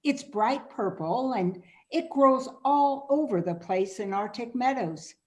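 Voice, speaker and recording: A woman's voice: a little high, animated, slow. A woman reading a curious, earnest woman traveler in a radio play. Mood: alarmed.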